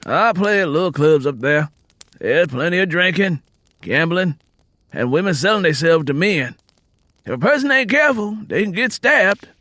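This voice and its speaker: A man's voice: high voice, expressive, sharp tone, dry recording, clean studio sound, voice pushed up. A man voicing a worldly, plain-spoken travelling musician in an audiobook, telling things in folksy Southern US dialect. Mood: matter-of-fact. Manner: folksy, drawn-out storytelling in rural dialect. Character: easygoing male travelling harmonica player, plain-spoken and worldly. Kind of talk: acted audiobook character dialogue. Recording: music playing under the voice.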